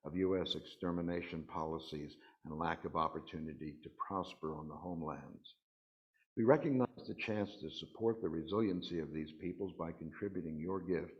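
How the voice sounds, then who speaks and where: Low-pitched male reader of personal stories for a worship service, dull recorded sound. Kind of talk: reading personal stories aloud.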